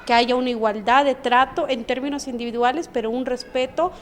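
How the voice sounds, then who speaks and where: Woman analyzing things in an interview, a bit high, long echo and faint hiss. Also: fairly even intonation, fairly quick. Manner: analytical, lecturing. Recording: reverberant room with faint noise.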